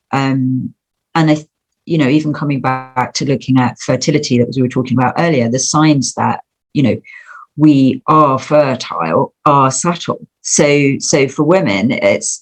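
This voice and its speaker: Female podcast guest, husky tone, casual reflective delivery with tentative, circling phrasing. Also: a bass voice, darkish tone, poor audio.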